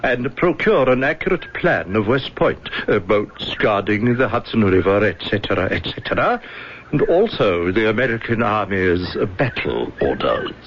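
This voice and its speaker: An actor in an old radio drama playing a polite, hesitant gentleman go-between, courteous and formal. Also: fairly low pitch, very animated, normal speed. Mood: hesitant, diplomatic. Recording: muffled, noisy old radio recording.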